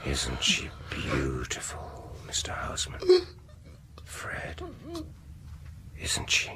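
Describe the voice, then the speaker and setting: An actor playing a sinister, vengeful male dentist in a radio horror drama, gloating and deliberate, lingering on words and dropping into whispers. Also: extremely low pitch, fairly bright tone, slight background noise, full character voice. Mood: gloating, silky.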